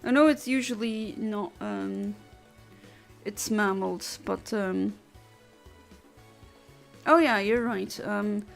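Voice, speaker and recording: Gravelly woman. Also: mid-range voice, takes it slowly, pauses often, soft background music. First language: Luxembourgish. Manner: blunt, literal and chatty, with many restarts. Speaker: streamer. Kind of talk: casual chat answering a livestream chat.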